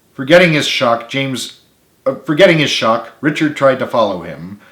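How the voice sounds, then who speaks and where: Man narrating a story in an echoey room. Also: medium pitch. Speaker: author reading own book. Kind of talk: dramatic audiobook narration.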